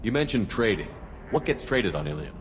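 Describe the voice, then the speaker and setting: A man's voice: lowish voice, big pitch swings, brisk. A man playing a direct, inquisitive military commander in a video game, questioning in a businesslike way. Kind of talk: scripted video game dialogue. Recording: clear ambient and vehicle noise.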